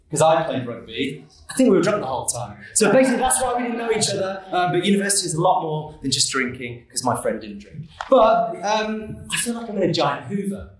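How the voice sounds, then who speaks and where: A man's voice: high voice, big pitch swings, a crowd in the background. A young man telling funny, self-deprecating stories about his life to an audience. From England, Manchester area. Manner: self-deprecating, joking, quick asides. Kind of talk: comedic personal storytelling. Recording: echoey hall from far off.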